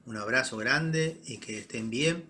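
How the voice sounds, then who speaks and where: A man delivers a formal closing message with warm greetings. His tone is bright and forward. A faint ambient hiss sits behind him. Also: mid-range voice, a little slow.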